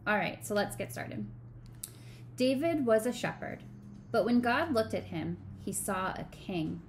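Woman reading a children's story gently. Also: mid-range voice, lively intonation, measured pace, a little hiss or hum. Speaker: children's sermon co-leader. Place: small home room.

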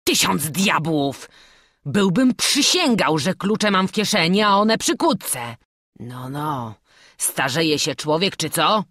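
A woman's voice: low-pitched, expressive, takes it slowly, a bit bright, no echo, studio quality, exaggerated voice. A woman voicing an aging male bandit in an audiobook, bullying and menacing, with barked threats and mocking coaxing. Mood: vexed. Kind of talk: audiobook storytelling.

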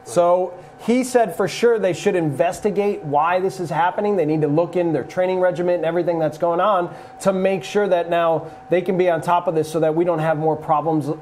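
A man's voice: on the high side, darkish tone, slight background noise. A man in his forties, a former coach, analyzing a soccer match at a studio desk with a lapel mic. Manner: measured, draws on coaching experience.

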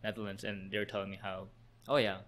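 Low-voiced male host, chatty and loose, faint hissy recording. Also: level tone, quick pace, fairly bright tone. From Canada. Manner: chatty, frequent "like" fillers. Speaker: podcast host. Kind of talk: casual interview.

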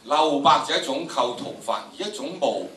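A man's voice: mid-range voice, expressive, normal speed, bright, forceful tone. An older man with a Hong Kong Cantonese accent, teaching a class with theatrical, emphatic delivery and repeated phrases. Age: older, about 70s. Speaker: drama teacher, lecturer. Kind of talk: animated classroom lecture to students. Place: large indoor lecture room with audience. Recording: echoey lecture room, distant, noisy.

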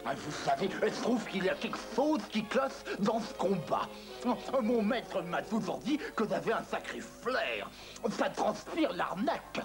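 A man's voice: high-pitched, sing-song, measured pace, exaggerated voice. A man voicing a naive, dim cartoon boxer who speaks politely and hesitantly, bumbling along. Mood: suspicious. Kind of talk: French-dubbed cartoon acting. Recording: old cartoon soundtrack with loud music.

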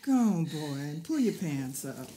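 A man's voice: fairly high pitch, very animated, unhurried, mellow. A man playing a sassy middle-aged mother who scolds in a sing-song way. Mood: bossy.

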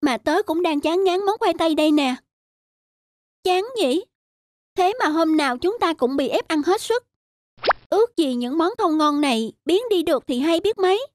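A woman's voice: very high voice, animated, normal speed, a little mellow, no echo, clean studio sound. A woman voicing a little schoolchild in a children's cartoon, with an eager, polite, childlike way of talking. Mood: glum. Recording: music behind the voice.

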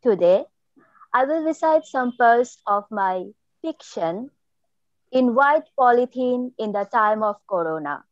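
Slow, high-voiced Bangladeshi woman. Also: lively intonation. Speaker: writer and filmmaker. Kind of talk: literary reading and presentation. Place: indoor room, on a video call. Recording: dull, roomy video-call audio.

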